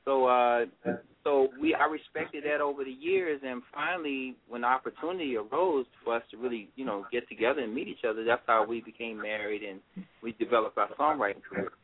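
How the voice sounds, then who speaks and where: Relaxed middle-aged man on a phone line. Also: medium pitch, brisk. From Washington, D.C. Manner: relaxed, rambling, many fillers. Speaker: guest musician. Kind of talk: casual interview.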